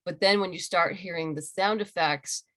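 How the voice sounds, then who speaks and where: Low-pitched woman, enthusiastic interview guest. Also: steady tone, moderate pace, bright, forceful tone, soft background music. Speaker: interviewed comic book writer and audio drama creator. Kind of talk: casual interview conversation. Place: remote video call.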